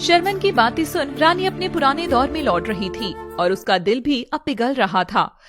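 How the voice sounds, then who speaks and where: A woman's voice: high voice, moderate pace, over music. A woman working as a story narrator, reading scripted narration in a dramatic style.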